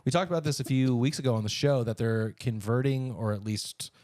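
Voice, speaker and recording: A man with a mid-range voice talks as a podcast co-host. His delivery is informal and chatty, like relaxed banter over the news. The sound is dry, with very little room in it. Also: medium pitch, brisk, no room sound. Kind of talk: casual conversational news banter.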